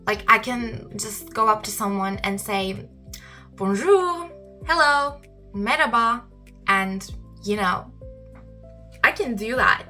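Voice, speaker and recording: A young woman, hosting a solo podcast and talking thoughtfully with frequent pauses. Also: fairly high pitch, expressive, sharp tone, soft background music. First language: Turkish. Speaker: podcast host.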